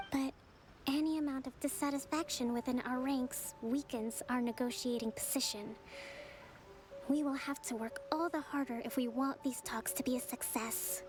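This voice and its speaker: A woman voicing a poised, gentle young priestess and strategist in a video game, speaking in a measured, polite way. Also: high voice, lightly acted. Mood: earnest, resolute. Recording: game music behind the voice.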